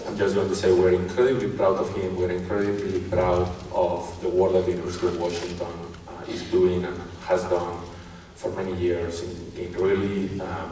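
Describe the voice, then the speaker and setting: Low-voiced man speaking in an echoey hall. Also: dark tone. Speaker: university department chair introducing a graduation speaker. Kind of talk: ceremony introduction speech. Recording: echoey hall, distant mic, faint noise.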